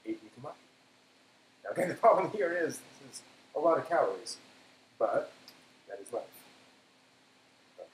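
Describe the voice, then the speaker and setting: Man, live stream host, casual cooking chat, halting self-talk, roomy echo, background noise. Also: medium pitch, very animated. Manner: halting, self-talking, trailing off. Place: indoor kitchen. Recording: echoey room, background noise.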